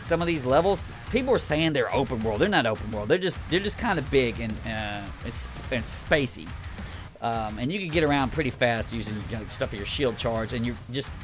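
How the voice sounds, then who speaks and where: A man's voice: medium pitch, fairly bright tone. A man giving an unscripted, casual game review in a rambling, blunt, griping and sweary way.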